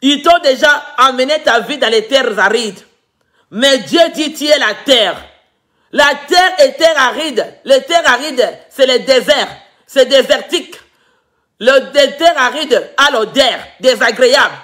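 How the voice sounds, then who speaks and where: A man preaching a fervent sermon as pastor, breaking into shouts, whose first language is not the one he preaches in. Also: very high voice, a little slow. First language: a Congolese language.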